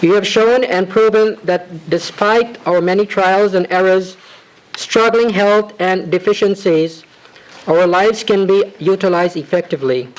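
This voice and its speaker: Reverent man with a high voice. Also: poor audio. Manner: formal, measured and reverent, with ornate phrasing. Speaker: disciple reading a homage. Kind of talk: formal reading of a devotional tribute letter.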